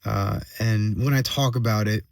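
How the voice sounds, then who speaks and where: A man with a slightly hoarse voice giving a casual personal update as a vlogger, venting in a halting way, repeating phrases and checking in with tag questions. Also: fairly low pitch, steady tone, dry recording. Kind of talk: casual solo monologue, venting.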